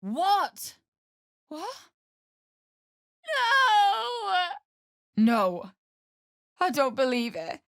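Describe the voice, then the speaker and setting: A very high-pitched, desperate young widow from a video game. She speaks slowly and pleadingly, lurching between grief and bitter anger, in a close, dry recording. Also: very animated, gravelly, a bit bright, no room sound, heavily acted. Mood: distraught. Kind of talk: dramatic video game voice acting.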